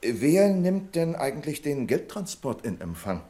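An imperious bank manager, sweeping intonation. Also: male voice, fairly high pitch, very animated, measured pace, dark tone. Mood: probing, insistent. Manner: curt, condescending, fault-finding. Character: pedantic, imperious male bank branch manager. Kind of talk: German radio play acting.

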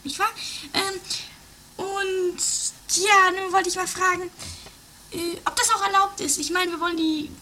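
A woman's voice: very high voice, level tone, a little slow, background noise. A woman playing a flighty, theatrical, breezily unrepentant lady in a trashy comedy radio play, stammering and exaggerating, over music. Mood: hesitant pleading. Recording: music under the voice.

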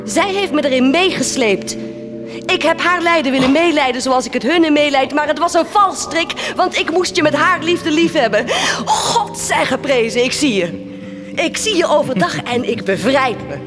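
A woman's voice: sits high, expressive, moderate pace, music in the background. An actress playing a proud, compassionate woman of the poor in a Dutch radio play, solemn and impassioned. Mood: accusing, anguished.

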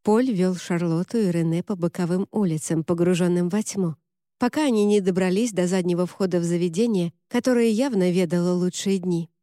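A woman reading a novel aloud as an audiobook narrator, in an even, measured way. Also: fairly low pitch, no echo, studio quality. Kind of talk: scripted narration.

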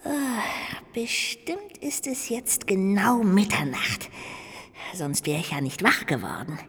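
A woman playing a curious, cheerful little ghost in a radio play, musing aloud to itself with drawn-out hesitations and little exclamations. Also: mid-range voice, very animated, fairly bright tone, exaggerated voice. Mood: drowsy musing. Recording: long hollow reverb, clear background noise.

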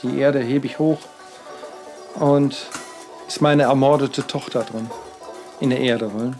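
A man, an artist, telling a rambling personal account in halting speech with frequent fillers and restarts. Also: mid-range voice, steady tone, a little mellow, soft background music.

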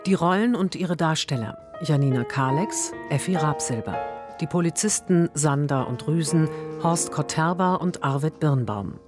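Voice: mid-range voice, moderate pace. An announcer reading the closing credits of a radio drama in a scripted delivery. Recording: music behind the voice. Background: instrumental music.

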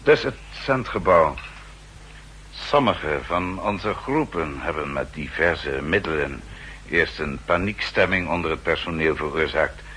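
An actor playing a formal, dryly polite aide to a spymaster in a 1960s radio drama, deferential, measured and precise. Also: male voice, very deep voice, lively intonation, sharp tone, heavily acted. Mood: measured briefing. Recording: 1960s radio recording, faint hum and noise.

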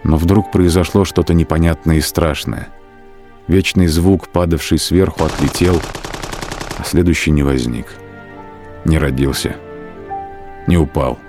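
A man narrating a literary radio drama in measured, emphatic short phrases. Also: extremely low pitch, fairly even intonation, darkish tone. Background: music under the narration.

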